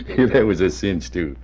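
A man's voice: low-pitched, a little mellow, exaggerated voice. An actor playing an eager, easily impressed gangster henchman in an old radio drama, talking in crook slang. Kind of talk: 1940s radio acting. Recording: dull noisy old radio recording.

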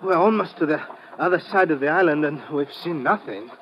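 Man acting an islander boatman, higher-voiced, noisy old radio. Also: brisk, highs cut off, natural voice. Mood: easygoing. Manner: singsong simple English, calls himself by name. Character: superstitious, easygoing island boatman with a guitar.

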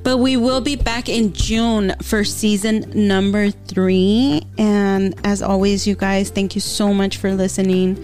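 Calm, slow-talking woman podcast host. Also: medium pitch, soft background music. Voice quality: calm soothing voice. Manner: relaxed, slow, mixing Spanish into English. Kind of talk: casual podcast chat.

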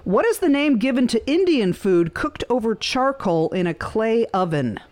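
A woman hosting a phone-in quiz in a casual, chatty, bantering way, quick to laugh. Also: a little high, sing-song, a little mellow. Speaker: radio show host running a phone-in quiz.

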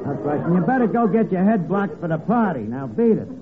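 Brash, high-pitched New barkeeper. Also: male voice, a little fast, a loud crowd. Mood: brash, wisecracking. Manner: brash, slangy, mangled grammar, deadpan comebacks. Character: wisecracking, tightfisted lowbrow barkeeper, a man. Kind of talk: 1940s radio comedy acting. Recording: tinny, noisy vintage radio broadcast.

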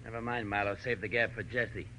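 A jumpy, gullible small-time crook's sidekick, with a tense, bright voice and hesitant delivery. Old radio crime drama, with some noise on the recording. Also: male voice, fairly low pitch, steady tone, fairly quick, rough recording. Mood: doubtful. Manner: hesitant, easily startled.

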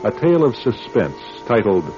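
A man's voice: lowish voice, very animated, moderate pace. A man narrating a suspense radio drama as announcer, in a formal, dramatic manner. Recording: dull radio recording over music.

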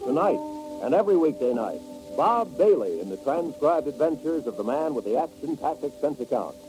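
A man giving a booming, emphatic 1950s radio show introduction. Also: big pitch swings, fairly quick, low-quality recording. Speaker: radio announcer. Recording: old radio broadcast over theme music.

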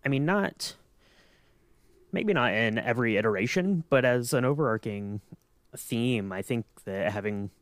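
A man's voice: medium pitch, expressive, a little slow, no room sound. A man on a podcast panel chatting casually in a thoughtful way, reasoning aloud as he goes. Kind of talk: casual chat.

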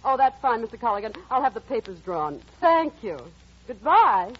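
A woman's voice: fairly high pitch, animated, moderate pace. A woman playing a poised, tender and anxious businesswoman in an old-time radio drama, with emotive, theatrical delivery. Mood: brisk, businesslike. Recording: muffled, noisy vintage radio recording.

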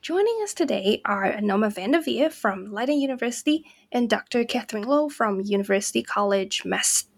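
Gravelly-voiced woman hosting an academic podcast. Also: a little high, lively intonation, a little fast, hardly any pauses. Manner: gentle, hesitant with fillers. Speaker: podcast host. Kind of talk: academic interview.

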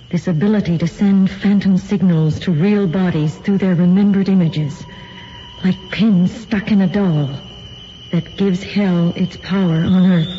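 An actress playing an intelligent, wry female book editor in a radio drama, speaking in a measured, foreboding, pointed way. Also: fairly low pitch, warm tone, close to an everyday voice. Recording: dull lo-fi radio recording, faint noise.

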